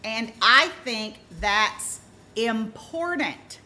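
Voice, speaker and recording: A woman giving informal true-crime commentary as a vlogger, emphatic and deliberate, repeating points for stress. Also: fairly high pitch, animated, pushed and bright.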